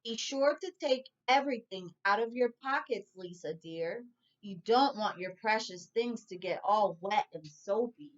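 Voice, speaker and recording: A woman voicing a gentle, practical mother in a storybook. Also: medium pitch, lively intonation, a little slow, highs cut off, close to an everyday voice. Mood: instructing. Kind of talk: storybook character voice.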